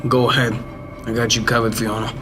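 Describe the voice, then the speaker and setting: A man's voice: mid-range voice, level tone, a little fast, lightly acted. Young guy as careful protective sidekick in a radio play, mid voice, little music behind. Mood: wary. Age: young adult. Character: wary, protective male sidekick. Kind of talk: radio drama acting.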